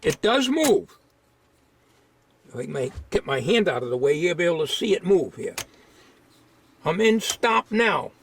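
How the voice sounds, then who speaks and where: A man giving an informal, unscripted hands-on repair demonstration, thinking aloud in a halting way. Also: on the high side, very animated, a little hiss or hum.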